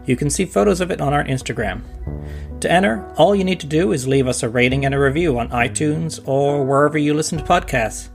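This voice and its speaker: A man's voice: a little high, creaky. A man speaking as a podcast announcer in a casual, direct address to listeners. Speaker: podcast team member announcer. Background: plucked guitar music.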